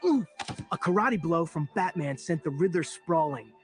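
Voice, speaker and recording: Man as a higher-pitched melodramatic adventure narrator. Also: animated, moderate pace, over music, lightly acted. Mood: excited, dramatic. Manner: melodramatic, scripted. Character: melodramatic young male sidekick narrating heroic adventures. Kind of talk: dramatic superhero audio adventure narration.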